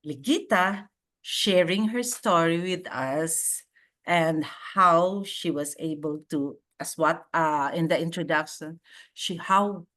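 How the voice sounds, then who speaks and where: A woman hosting a podcast interview over a video call, talking warmly and effusively. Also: sits low. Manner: warm, slow, effusive with frequent laughs. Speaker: podcast host. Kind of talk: casual interview chat. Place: home in Virginia, on a video call.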